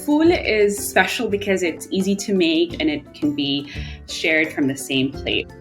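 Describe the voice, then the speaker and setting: A woman's voice: on the low side, unhurried. A woman in her thirties from Ethiopia, a home cook narrating how to make a breakfast dish and sharing memories of home. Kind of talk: recipe video narration. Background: soft background music.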